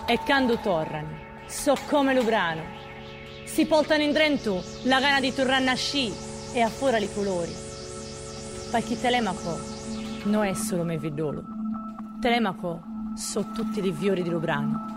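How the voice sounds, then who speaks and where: A woman's voice: mid-range voice, animated, moderate pace, long pauses, close to an everyday voice. A woman with a Sardinian accent playing a defiant, impassioned villager in a declamatory audio drama monologue. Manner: declamatory, challenging. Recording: loud music behind voice.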